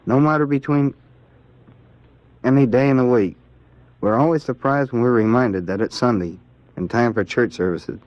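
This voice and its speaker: A man with an American accent answering in a scripted 1940s radio interview as a Navy seaman, in a plain, earnest, measured way. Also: medium pitch, darkish tone, dry recording, lightly acted. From Ohio, United States. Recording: dull vintage 1940s radio recording.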